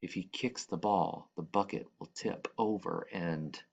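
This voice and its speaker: A man reading a children's picture book aloud, expressively, with dramatic and deliberate phrasing. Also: low voice, creaky, slightly rough, dull audio. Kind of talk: expressive read-aloud narration.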